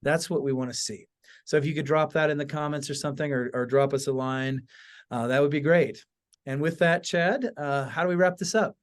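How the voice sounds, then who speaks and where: Higher-voiced man hosting a casual podcast. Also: fairly quick. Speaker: podcast host. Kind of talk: casual chat, show sign-off.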